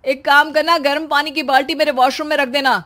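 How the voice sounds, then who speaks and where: A woman voicing a whiny, self-pitying young husband in animated story dialogue, complaining dramatically. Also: high voice, brisk, voice pushed up. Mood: dismissive.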